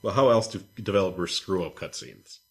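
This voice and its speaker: A man's voice: sits low, expressive, a little fast. A man hosting a podcast, chatting casually with wry, mocking opinions and questions. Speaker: podcast host.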